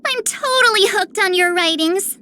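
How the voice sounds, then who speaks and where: A woman's voice: very high voice, normal speed, sharp tone. A woman voicing a little girl in a video game, cheerful, guileless and childlike in how she exclaims. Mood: bubbly, admiring. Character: little cheerful, guileless girl who gushes over friends. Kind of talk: video game character voice lines.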